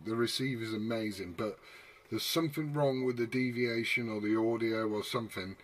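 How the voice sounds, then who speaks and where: A man's voice: mid-range voice, fairly even intonation, normal speed. A man in casual radio hobbyist chat, a little hesitant and repeating phrases for emphasis. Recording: distant from mic, faint noise.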